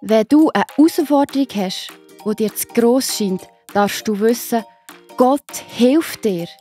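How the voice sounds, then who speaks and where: Husky, high-voiced Swiss German woman storyteller. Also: unhurried, soft background music. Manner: expressive. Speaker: narrator. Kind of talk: scripted storytelling for children.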